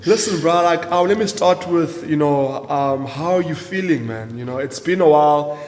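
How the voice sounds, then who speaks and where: A man's voice: fairly high pitch, normal speed, darkish tone, a little hiss or hum. A man hosting a casual interview, chatting informally with lots of "you know" along the way. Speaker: podcast host and interviewer. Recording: reverberant hall-like room.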